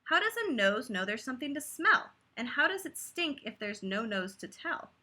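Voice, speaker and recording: Woman as a high, inquisitive little girl scientist. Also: sing-song, normal speed, bright, forceful tone, full character voice. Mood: puzzled. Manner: inquisitive, emphatic questioning. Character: curious, earnest little girl scientist, endlessly questioning.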